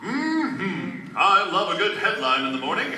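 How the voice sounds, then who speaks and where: A man's voice: sits high, very animated, a little slow, sharp tone, heavily acted. A man playing a cocky, easily exasperated ace newspaper reporter, theatrical and slangy, in a comic old-time radio play. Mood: smug. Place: convention stage, recorded from the audience. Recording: distant camera, roomy hall, faint noise.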